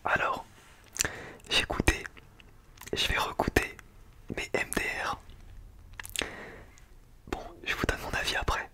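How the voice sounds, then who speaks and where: A man speaking in a breathy, unvoiced whisper, chatting casually and in slang in an ASMR tasting style. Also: slow pace, long pauses, bright, forceful tone. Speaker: ASMR food-tasting video creator.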